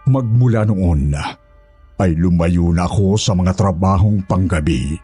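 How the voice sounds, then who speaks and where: A man's voice: deep voice, measured pace, warm tone, breathy edge, faint music behind. A man playing a humble former houseboy, narrating a horror story in the first person with an earnest, polite manner. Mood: recounting, earnest.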